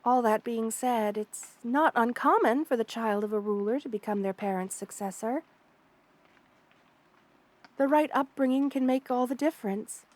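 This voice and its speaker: A woman voicing a kind, motherly spider queen in an ASMR roleplay, soft-spoken, doting and reassuring as she speaks to the listener. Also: fairly high pitch, normal speed, lightly acted. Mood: calm, explaining. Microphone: close studio mic, dry.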